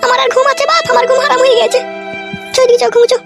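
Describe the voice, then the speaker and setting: A jealous village wife in a comic cartoon. Her voice is extremely high and squeaky, rattling out sarcastic nagging at very high speed. Every phrase is shrill and quarrelsome. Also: flat delivery, rapid-fire, creaky, loud music behind the voice. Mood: sly, grumbling.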